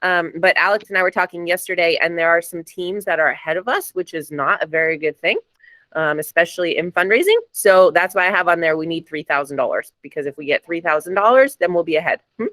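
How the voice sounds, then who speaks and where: Woman host, raspy this morning, chatty and upbeat, dry sound. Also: on the low side, expressive, fast, fairly bright tone, no echo. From New Jersey. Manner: chatty, upbeat, frequent ums. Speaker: sales meeting host. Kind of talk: casual meeting announcements.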